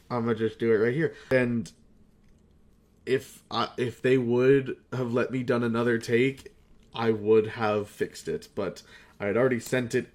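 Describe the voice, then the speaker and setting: A man's voice: mid-range voice, a little mellow. A young man chatting casually as a video creator, rambling, self-deprecating and swearing freely. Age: young adult. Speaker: amateur voice actor and video creator. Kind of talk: casual rambling retrospective chat.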